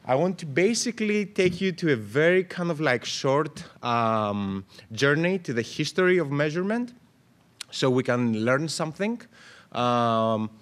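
A man's voice: fairly high pitch, unhurried. A man in his thirties from Greece, giving panel remarks at a conference, speaking with lots of fillers and restarts into a table microphone. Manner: animated, gestures a lot, many fillers and restarts. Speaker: panel moderator. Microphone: table mic on stand.

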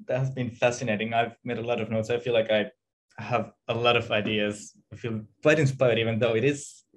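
Reflective man. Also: mid-range voice. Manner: reflective, hesitant, rephrasing often. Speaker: academic, audience member asking a question. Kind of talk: thoughtful seminar question. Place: indoor room on a video call. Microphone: computer or headset mic on video call.

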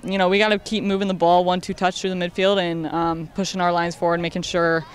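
A woman's voice: on the low side, flat delivery, fast, clear, resonant tone. A young woman midfielder speaking candidly in a post-game interview, outdoors at a stadium at night into a handheld mic. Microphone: handheld mic held at chin.

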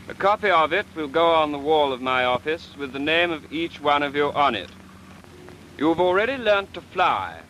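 An actor playing a stern middle-aged naval flying commander, addressing trainee pilots in a crisp, authoritative manner in a 1940s British film. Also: male voice, fairly high pitch, pushed and bright. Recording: faintly noisy 1940s film soundtrack.

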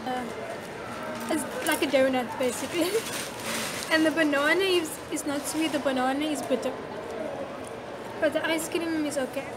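A woman's voice: high voice, steady tone, moderate pace, long pauses. A young woman giving a casual, teasing food review on a phone camera in a mall café. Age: young adult. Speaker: vlogger. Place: busy mall café, indoors. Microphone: handheld phone camera. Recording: loud crowd noise behind. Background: café chatter.

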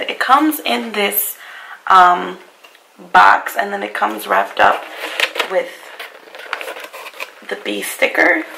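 A woman in her twenties with a hoarse, raspy voice from a cold, chatting casually through a makeup review at her vanity. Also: medium pitch, background noise. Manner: chatty, apologetic about her voice. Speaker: beauty vlogger. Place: bedroom vanity, indoors.

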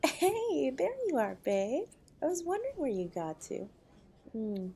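A woman playing a protective, loving wife in an intimate audio roleplay, with a breathy voice. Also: sits high, very animated, a little slow, dark tone, full character voice. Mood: firm, indignant. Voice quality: breathy, often dropping to a whisper. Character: protective loving wife comforting and defending her husband.

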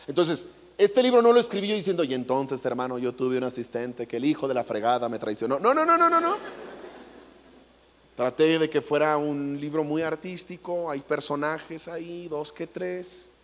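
Brief: jokey Mexican preacher, high-pitched man, long hall reverb. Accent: Mexican Spanish. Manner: playful, jokey, acts out quotes. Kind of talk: comedic stage sermon. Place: large theater stage. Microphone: handheld mic.

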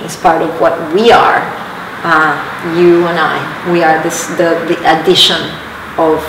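A middle-aged woman from Colombia with a Colombian Spanish accent, talking thoughtfully in an interview and often repeating words as she forms a phrase. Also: on the low side. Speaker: artist being interviewed. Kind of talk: reflective interview talk. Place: large high-ceilinged church exhibition space, indoors. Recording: echoey room, distant mic, clear background noise.

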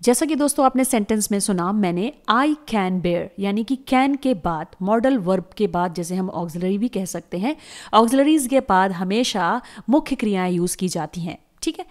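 A woman teacher, patiently explaining word meanings and repeating words slowly for learners in a voice-over lesson. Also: medium pitch, lively intonation, a little mellow. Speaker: English teacher. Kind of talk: explaining lesson to camera viewers. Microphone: voice-over on slides.

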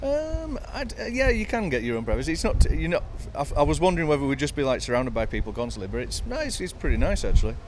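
A man's voice: a little high, sing-song, fast talker, few pauses, a little hiss or hum. A middle-aged man from the United Kingdom, a musician chatting easygoingly on a ship's deck with many fillers. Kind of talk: casual interview. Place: outdoor deck of a cruise ship.

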